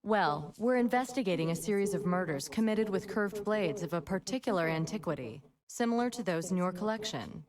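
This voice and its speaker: A woman's voice: on the low side, lively intonation, brisk, hardly any pauses, darkish tone, far from the mic, lightly acted. An actress playing a persistent female FBI agent in a video game, asking polite but probing questions.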